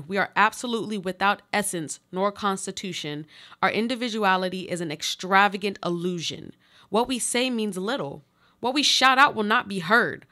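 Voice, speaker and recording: A woman's voice: mid-range voice, sharp tone, lightly acted. A woman playing another woman's desperate, insistent double in a live radio play, with solemn, literary delivery.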